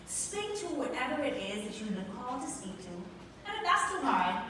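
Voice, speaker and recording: A woman with a Bahamian accent and a fairly high voice delivers a motivational speech from a stage. She speaks emphatically, drawing out key words. The sound is very echoey and a little distant, with clear background noise. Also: very animated, moderate pace, sharp tone. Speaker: motivational speaker and show host. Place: large venue on stage with live audience.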